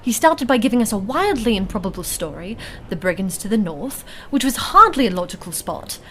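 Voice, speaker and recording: A woman playing a wary, analytical adventurer in a fantasy audio drama, reasoning in a measured, formal manner. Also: mid-range voice, very animated, fairly bright tone, a little hiss or hum. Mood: suspicious. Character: analytical, wary female adventurer, composed and suspicious. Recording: music behind the voice. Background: music.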